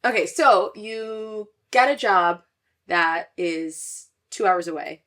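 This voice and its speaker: A woman's voice: mid-range voice, slow pace, a bit bright, faint music behind. A young woman hosting a podcast, talking casually with lots of fillers, restarts and laughs. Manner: chatty, many fillers and restarts, laughs often. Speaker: podcast host. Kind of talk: casual chat.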